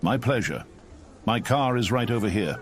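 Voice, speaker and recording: Actor as a low-voiced, reassuring host figure, faint hiss behind, smooth manner. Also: male voice, expressive, moderate pace, close to an everyday voice. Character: calm, hospitable older male contact with a secret compound.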